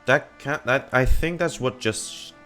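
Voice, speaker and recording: A man giving casual, halting, sweary reaction commentary on a game, musing aloud with music behind. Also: medium pitch. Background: soft game music.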